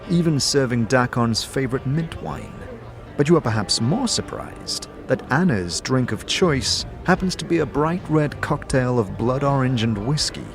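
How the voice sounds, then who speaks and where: A man narrating a fantasy tale in the second person as game master, in an expressive storytelling manner. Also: medium pitch. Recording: music under the voice.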